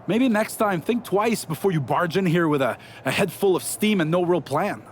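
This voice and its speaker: Smug wrestling bad guy, highish voice, sarcastic gloating promo, some noise behind. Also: lively intonation, normal speed. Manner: sarcastic, gloating, stuttering restarts for mockery. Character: smug, taunting male wrestling villain boss.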